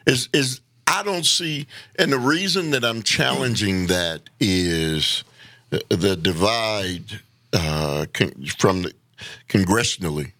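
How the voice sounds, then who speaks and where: Earnest low-voiced man, halting delivery. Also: sharp tone, dry recording, clean studio sound. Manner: earnest, halting, with repeated words and drawn-out emphasis. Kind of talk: casual conversation.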